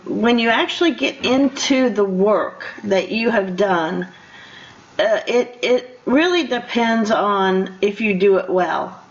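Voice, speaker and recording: An older woman hosting a conversational interview, encouraging and often repeating herself as she goes. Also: medium pitch. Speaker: radio show host. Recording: muffled radio interview sound.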